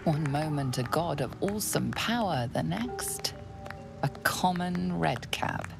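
The narrator of a fantasy game, solemn and hushed. Her voice is low and slow with a gravelly creak, ominous and deliberate over loud music. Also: lively intonation, full character voice. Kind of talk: scripted dramatic narration.

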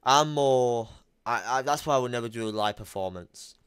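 Man, casual call chat, blunt, emphatic, smooth low voice, dry close mic. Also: moderate pace, fairly bright tone, no echo. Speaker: YouTuber.

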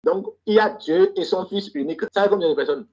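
Insistent togolese guy debating over a muffled call, kinda husky, higher voice. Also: quick pace, dark tone. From Togo. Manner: challenging, insistent, keeps repeating demands. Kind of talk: heated live debate.

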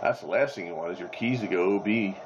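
A man's voice: low voice, quick pace. A middle-aged American man in casual chat over a CB radio. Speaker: CB radio operator chatting on air. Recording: dull CB radio audio, loud static.

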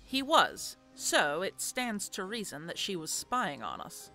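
A woman playing a practical, reasoning young seafarer in a fantasy audio drama, explaining in a measured way. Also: medium pitch, very animated, pushed and bright, faint music behind, full character voice. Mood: thoughtful.

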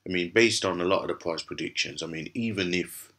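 Raspy, hoarse deep-voiced man. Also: a little fast, a bit bright. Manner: rambling, many fillers and restarts. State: hoarse from a cough. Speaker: crypto channel host. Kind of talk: casual commentary over screen recording.

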